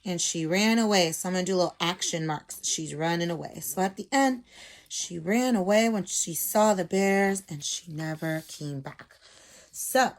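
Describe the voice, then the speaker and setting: A woman's voice: fairly low pitch, moderate pace. A woman teacher explaining things simply to young children, talking casually as she draws and adding little check-in questions. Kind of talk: casual instructional talk while drawing.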